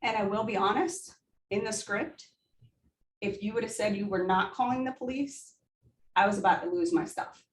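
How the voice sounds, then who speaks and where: A woman's voice: medium pitch, normal speed, slight background noise. A woman nursing instructor with a big voice, debriefing a student in an emphatic, coaching way with rhetorical questions. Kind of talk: simulation debrief. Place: simulation room. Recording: echoey room, heard from a distance.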